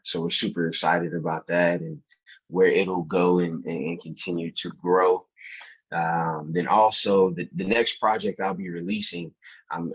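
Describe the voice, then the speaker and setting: Casting: young man, husky and lowish voice, animated slangy podcast guest, muffled lo-fi sound. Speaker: podcast guest, filmmaker and actor. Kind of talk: casual chat. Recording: dull, muffled lo-fi audio.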